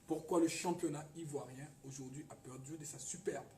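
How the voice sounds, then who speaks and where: A man's voice: a little high, fairly even intonation, brisk, dark tone. A man from the Ivory Coast, a guest in a casual chat, who speaks hesitantly, repeats words and stresses points now and then. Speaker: radio guest. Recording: echoey room, voice from far off, faint noise.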